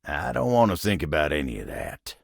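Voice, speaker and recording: Grumbly old-fashioned talking table for a game, slow southern drawl, real gravelly, folksy and kinda bashful, proud type, super dry close recording. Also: male voice, rumbling low, wildly swooping pitch, dry recording, exaggerated voice. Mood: relieved, grateful. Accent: American Southern or rural Western drawl. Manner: folksy, grumbling, flustered, halting when embarrassed. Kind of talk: video game character voice acting.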